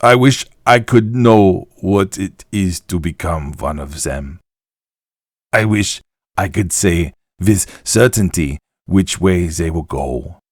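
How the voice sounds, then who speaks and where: An actor playing a weary, haunted old puppet maker, reading his journal in a grave, deliberate, theatrical way for a game audio log. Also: low-pitched, expressive, dry recording, studio quality, full character voice. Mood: resigned, reflective. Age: older.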